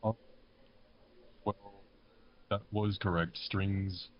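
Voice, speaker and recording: A man's voice: low voice, level tone, extremely slow. A man co-hosting a casual podcast, talking modestly and self-critically about his own creative work. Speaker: podcast co-host, amateur music composer. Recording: dull mic with faint background noise.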